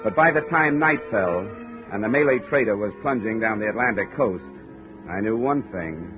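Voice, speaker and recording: An actor playing a wry, hard-boiled investigator with an American accent, in dry 1950s radio detective style. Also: male voice, fairly low pitch, animated, moderate pace, lightly acted. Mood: dry, narrating. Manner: dry, wisecracking. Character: wry, hard-boiled male insurance investigator undercover as sailor. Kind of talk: 1950s radio detective acting and first-person narration. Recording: dull old radio recording with music.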